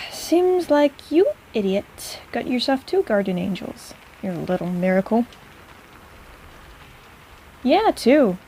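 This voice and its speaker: Casting: actress as chatty, fond, teasing female apothecary for intimate audio roleplay; soft-edged warm tone, slightly high pitch. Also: sing-song, a little hiss or hum, full character voice, low-quality recording. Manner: chatty and rambling, halting, gently teasing. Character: warm, teasing, flustered young woman apothecary shopkeeper, caring and rambling. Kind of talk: intimate personal-attention audio roleplay, speaking to the listener.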